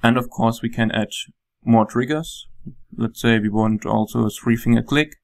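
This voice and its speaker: A man with a German accent, a software developer, calmly walking through a program on a screen recording with frequent ums. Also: medium pitch, moderate pace, gravelly. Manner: calm, plain explaining with frequent ums.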